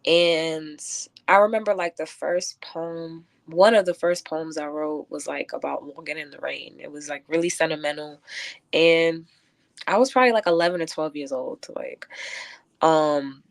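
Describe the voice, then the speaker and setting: Low voiced woman poet on a radio interview, kinda halting n thoughtful, dry close sound. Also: no room sound. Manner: thoughtful, halting, many filler likes. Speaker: guest poet and lyricist. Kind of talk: reflective radio interview answers. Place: close-miked radio show.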